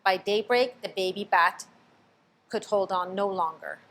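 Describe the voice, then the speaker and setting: A woman reading a children's picture book aloud, expressive, stopping to ask the listener questions. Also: mid-range voice, a little slow. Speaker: storybook reader.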